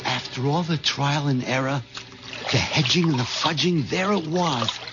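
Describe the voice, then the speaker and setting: Meek, hen-pecked husband played by an actor, muffled radio. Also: male voice, a little high, unhurried, lightly acted. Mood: dazed confession. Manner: hesitant, stammering, flustered. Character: timid, hen-pecked middle-aged husband, nervous and meek. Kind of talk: 1970s radio drama acting. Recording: dull vintage radio recording, music behind.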